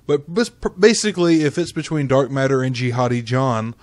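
A man's voice: medium pitch, lively intonation, a little fast, dry recording. A man co-hosting a podcast with casual, profane banter. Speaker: podcast co-host.

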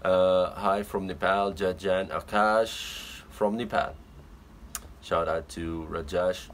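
Young man from Egypt, low-ish voice, easygoing livestream host in a small bedroom, light room noise. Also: steady tone, slow. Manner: friendly, greets viewers by name. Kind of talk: casual livestream chat reading comments. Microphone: phone camera at arm's length.

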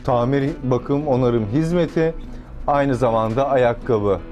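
A male interviewer asking formal, scripted questions in a brisk manner, often cutting in with short affirmations. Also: medium pitch, darkish tone, dull audio, soft background music.

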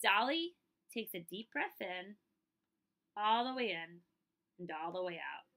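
Bright-voiced woman reading a picture book aloud. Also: mid-range voice, measured pace, vocal fry. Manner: expressive, emphatic, checks in with listeners. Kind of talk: picture-book read-aloud with casual asides to children. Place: small indoor room.

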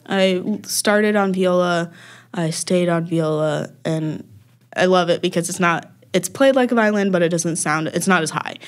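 A young woman talks as a podcast guest. Her voice sits a bit low, and she chats casually, with frequent ums and easy laughs. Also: moderate pace. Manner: chatty, many ums, laughs often. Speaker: podcast guest, music festival worker. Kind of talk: casual interview chat.

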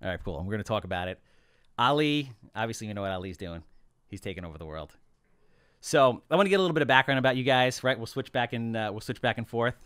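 A middle-aged man hosting a podcast, joking and animated, talking into a close boom mic in a small office studio. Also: fast, clean tone, no room sound. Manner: animated, joking, gestures broadly while talking. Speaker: podcast host. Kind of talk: casual podcast chat.

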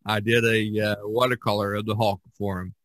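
A man's voice: fairly low pitch, little pitch movement, brisk, fairly bright tone, no room sound. Man chatting on podcast, dry close sound. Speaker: podcast host and artist. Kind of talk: casual podcast discussion.

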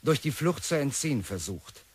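A man's voice: mid-range voice, expressive, normal speed, husky, pitched above the performer's own voice. A man reading out a formal newspaper report in a radio drama. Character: male newspaper report read aloud.

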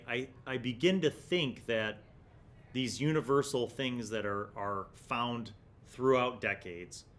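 Middle-aged male host, mid-range voice, casual explanatory commentary on retro gaming, thoughtful and halting, studio mic. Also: mid-range voice, a bit bright. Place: small indoor studio room. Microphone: large desktop studio microphone.

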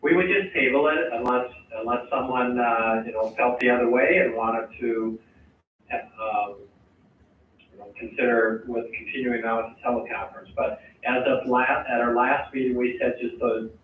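A man chairing a school board meeting, halting and full of fillers as he sums up motions. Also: medium pitch, fairly even intonation, slight background noise. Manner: halting, many fillers and restarts. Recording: echoey, distant, tinny meeting audio.

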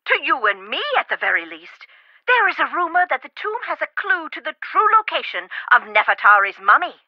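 A prim, theatrical lady scholar in a game, high-pitched and swooping up and down as she spins elaborate metaphors. The sound is dry and a little dull, like ripped game audio. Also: no room sound. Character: eccentric chatty woman scholar of Egypt, fussy and flowery. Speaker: character in an adventure game. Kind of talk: studio game voice acting.

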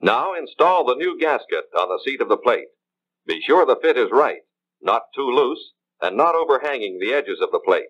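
An American man reading scripted instructional narration in a measured, formal way, as in a 1950s training film. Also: mid-range voice, big pitch swings. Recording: dull 1950s film soundtrack.

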